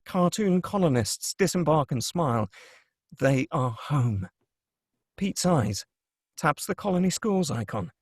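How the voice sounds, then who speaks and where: A man's voice: fairly high pitch, lively intonation, a little slow, breathy edge, no echo, clean studio sound. A man narrating a screenplay, reading out scene headings and stage directions. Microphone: close, dry microphone.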